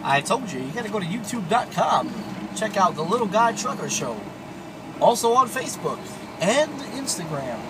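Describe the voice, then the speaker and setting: A man's voice: sits high, sing-song, normal speed, noisy background. A man hosting a trucker show, chatting casually on CB radio from inside a vehicle.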